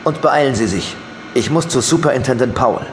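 An actor playing a brisk, commanding police investigator in an audio drama. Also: male voice, medium pitch, lively intonation, lightly acted. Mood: curt, urgent. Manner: curt, commanding. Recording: loud music behind the voice.

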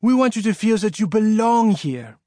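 A man voicing a warm, sincere middle-aged father and scientist with a French accent, courteous, as an audiobook character. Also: high voice, very animated, moderate pace, no echo, clean studio sound, voice pushed up. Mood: warm, welcoming. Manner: earnest, courteous.

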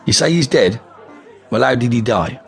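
A man's voice: mid-range voice, lively intonation, normal speed, creaky, breathy edge, soft background music, some chatter behind, pitched above the performer's own voice, exaggerated voice. A man voicing a cold, probing male gangster with a coarse, swearing manner, in a storybook reading.

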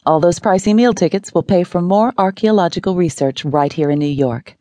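An audiobook narrator voicing a female TV newscaster with a measured broadcast delivery. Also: medium pitch, mellow, no room sound, voice pushed up, lightly acted. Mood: cheerful. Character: grave female TV newscaster, then cheerful. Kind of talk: audiobook character reading.

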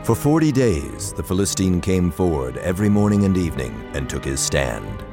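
Deep, expressive male Bible narrator. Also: moderate pace, music in the background. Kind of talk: dramatized scripted Bible narration.

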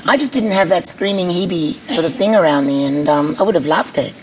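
A middle-aged woman with a husky voice, speaking bluntly and candidly as an interview guest. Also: lowish voice, lively intonation, fairly quick, crowd noise, poor audio. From Australia. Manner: blunt, candid, laughs easily. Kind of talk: casual chat.

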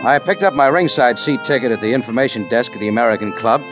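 A man's voice: mid-range voice, fast. A man narrating a hardboiled radio drama in a laconic, wry manner with clipped sentences. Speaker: lead actor and narrator. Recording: dull 1940s radio recording with music.

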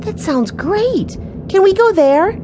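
A woman's voice: high-pitched, animated, normal speed, background noise, pitched above the performer's own voice. A woman voicing an eager, curious little boy in a children's audiobook. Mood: eager, excited.

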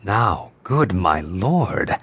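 An actor playing a dutiful, modest deputy lord in Shakespearean drama, speaking formally and deferentially. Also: male voice, low voice, big pitch swings, drawn-out words, fairly bright tone, husky, full character voice. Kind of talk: classical Shakespearean stage acting.

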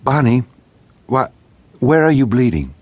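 A man's voice: medium pitch, big pitch swings, unhurried, darkish tone, voice pushed up. A man voicing a young female police dispatcher, pressing questions urgently over the line in a true-crime audiobook.

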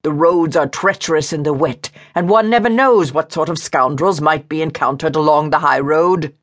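Casting: domineering period-romance gentleman, played by a woman, audiobook style, booming, scolding and hot-tempered. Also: normal speed, natural voice. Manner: blustering, domineering, archaic upper-class wording. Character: angry, overbearing Regency gentleman, booming and scolding.

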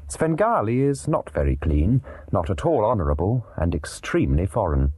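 A man narrating a dramatized story in a measured, dry manner. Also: lowish voice, sing-song, dark tone, husky, no room sound. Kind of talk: scripted narration of a dramatized audiobook.

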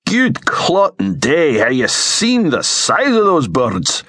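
A man's voice: mid-range voice, measured pace, no echo, studio quality, natural voice. A man voicing a grumbling Scottish soldier in an audiobook story, exclaiming colourfully with a Scottish accent. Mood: aghast. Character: gruff Scottish sergeant, loyal, grumbling, aghast at danger.

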